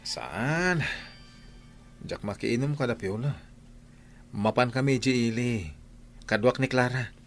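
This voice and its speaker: A man's voice: medium pitch, slow, long pauses, soft background music. A man playing an earnest, attentive young adult son and suitor in an audio drama. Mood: casual.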